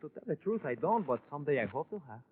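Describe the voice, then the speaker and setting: An actor playing a timid, fussy sidekick with polite, apologetic speech in a 1950s radio drama. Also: male voice, on the high side, sing-song, fast talker. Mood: sheepish. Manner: hesitant, apologetic, formal. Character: timid, polite, fussy male sidekick, eager to avoid danger. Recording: tinny, noisy 1950s radio recording.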